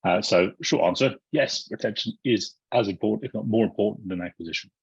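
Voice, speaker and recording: A man's voice: fairly low pitch, brisk, creaky, slightly rough, dry recording. A man from the UK, speaking as a panelist on an online panel with wry remarks and frequent restarts. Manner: wry, thinking aloud with many restarts. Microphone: video call. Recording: muffled dry video call audio.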